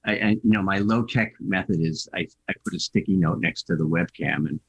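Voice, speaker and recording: A very low, gravelly man's voice with a Boston accent, a little husky around the edges. He talks in a loose, chatty way. The sound is dull and lo-fi. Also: brisk. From Boston area. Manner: chatty, laughs often, self-deprecating. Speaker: guest. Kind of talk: casual interview chat.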